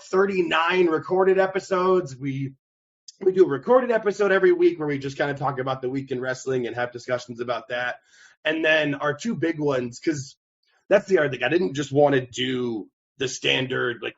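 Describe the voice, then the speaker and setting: A man talks as a podcast guest, with lots of energy, rambling and restarting his sentences. His voice sits a little high. The recording is dull and lo-fi. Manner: energetic, rambling, self-correcting. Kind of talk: casual interview chat. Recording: dull lo-fi sound.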